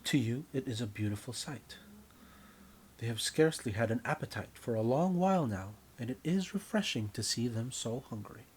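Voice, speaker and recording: A man narrating a scripted story in the second person, deliberate and often dropping softer at the ends of phrases. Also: medium pitch, expressive, slight background noise. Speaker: writer and narrator.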